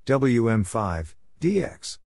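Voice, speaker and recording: A man narrating practice drills, reading out call signs and short radio exchanges in measured, separate letters and words. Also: medium pitch, very animated, vocal fry, a little mellow, no room sound, studio quality.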